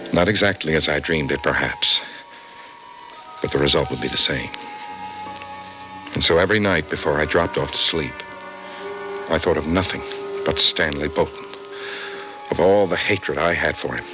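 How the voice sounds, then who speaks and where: An actor playing an obsessed, vengeful office worker, intense and brooding, in an old-time radio suspense drama. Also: male voice, very deep voice, low-quality recording. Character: obsessed, vengeful office worker confiding his dreams to a doctor. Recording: vintage radio drama, faint music behind.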